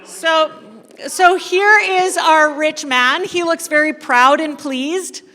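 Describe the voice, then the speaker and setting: Slow-paced woman storyteller in an echoey hall. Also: high voice, pushed and bright. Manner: playful, addresses the audience directly, dramatic pauses. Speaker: kids ministry coordinator leading a church service. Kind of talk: interactive storytelling sermon to a congregation. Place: church hall, speaking to a congregation. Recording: echoey hall with long reverb.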